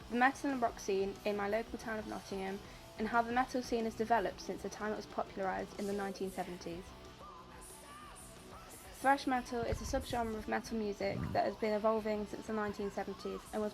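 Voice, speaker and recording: An English woman narrating her own documentary in a clear, measured way. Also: medium pitch, rough recording. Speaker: documentary maker and interviewer. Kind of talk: scripted narration and interview questions.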